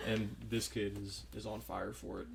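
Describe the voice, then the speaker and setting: A man's voice: on the low side, flat delivery, unhurried, gravelly, noisy background. A twenty-year-old man, a podcast guest, telling a story in an amused, chatty way. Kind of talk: casual chat.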